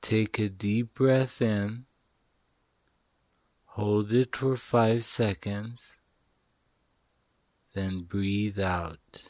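A man leading a guided meditation in a calm, soothing, instructional manner with long pauses. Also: lowish voice, dry recording. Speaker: meditation guide and show host.

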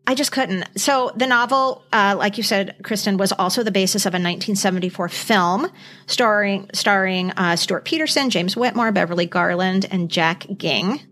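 A middle-aged woman speaks as a podcast host in casual conversation. Her voice sits at an average pitch for a woman. She tells things emphatically and expressively, leaning on key words. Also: moderate pace, a bit bright. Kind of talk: casual chat.

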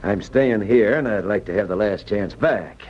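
An actor playing a scheming, anxious swindler in an old-time radio drama, hesitant, wheedling and blustering. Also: male voice, on the low side, moderate pace, lightly acted. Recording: dull noisy vintage radio recording.

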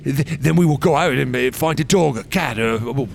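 An actor playing a deranged, fanatical wax sculptor in a horror radio drama, theatrical and gloating. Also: male voice, medium pitch, moderate pace, gravelly, slight background noise, natural voice. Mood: hushed zealous menace. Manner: theatrical, swinging from whispers to shrieks, cackling.

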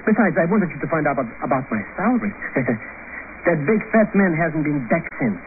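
Comic schemer, high voice, excitable and stammering, 1950s radio drama style, heavy static. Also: male voice, a little fast. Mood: blustering, fawning. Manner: flustered, fawning, stammering. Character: excitable, shifty, whining comic male sidekick and schemer. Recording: noisy, tinny old radio broadcast.

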